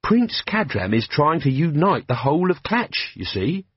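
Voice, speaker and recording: A man's voice: on the high side, sing-song, moderate pace, husky, dry recording, highs cut off, pitched above the performer's own voice. A man voicing a polite, earnest young officer who speaks deferentially.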